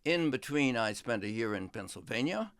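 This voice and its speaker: A man's voice: mid-range voice, lively intonation, fairly bright tone, no echo. Older man telling stories unhurriedly. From England. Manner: unhurried, reflective storytelling with many pauses. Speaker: history professor, guest. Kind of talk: relaxed interview talk.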